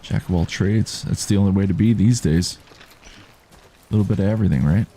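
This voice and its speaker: Relaxed male streamer, low dark baritone, easygoing commentary over light background noise. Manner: calm, unhurried, reads chat aloud. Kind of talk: relaxed live gameplay commentary.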